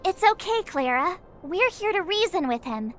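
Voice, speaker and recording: A woman's voice: very high-pitched, sing-song, normal speed. A woman voicing a perky, outgoing teenage girl adventurer in a video game, chatty and emphatic. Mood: reassuring.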